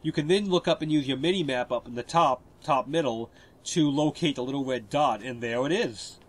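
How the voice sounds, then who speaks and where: A man with a stuffy cold, giving relaxed, explanatory gameplay commentary over a walkthrough. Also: mid-range voice, brisk.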